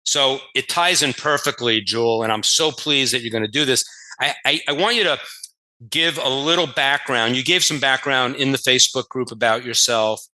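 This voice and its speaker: A man with a strikingly bright, forceful tone hosts the conversation. He circles around his questions, restarting them, and cheers on his guest with eager enthusiasm. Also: medium pitch, moderate pace, low-quality recording. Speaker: host. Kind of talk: interview host questions.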